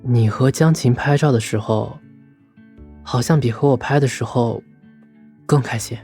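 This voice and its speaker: A man's voice: medium pitch, unhurried, mellow, lightly acted. A man playing an earnest, lovesick nice-guy college student in an audio drama. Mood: hesitant, hurt. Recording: faint music behind.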